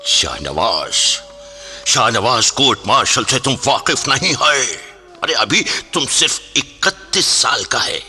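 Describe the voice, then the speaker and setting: An actor in a radio play voicing a pompous British army officer and old mentor, with a gravelly voice, drawn-out theatrical delivery and broken, British-accented Hindi. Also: male voice, medium pitch, big pitch swings, sharp tone, exaggerated voice. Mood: urging, persuading. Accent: put-on British accent in Hindi. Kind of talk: radio drama acting. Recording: radio play with music underneath.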